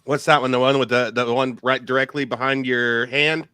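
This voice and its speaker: A man's voice: mid-range voice, level tone, moderate pace, bright, forceful tone, clear, resonant tone, no echo. A man co-hosting a casual music talk show, rambling and joking with lots of fillers. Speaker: podcast co-host. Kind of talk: casual chat.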